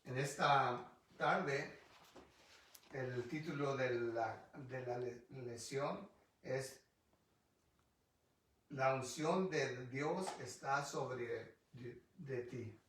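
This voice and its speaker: A male pastor whose first language is English, teaching a Bible study in Spanish, halting and restarting often as he looks for words. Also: mid-range voice, fairly bright tone, slight background noise. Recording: distant mic in a very echoey room.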